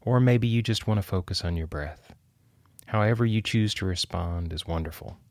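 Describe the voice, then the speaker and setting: A man hosting a devotional podcast, guiding listeners through scripted contemplation in a gentle, measured, reflective way. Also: lowish voice, dry recording. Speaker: podcast host leading guided prayer and scripture contemplation. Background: acoustic guitar music.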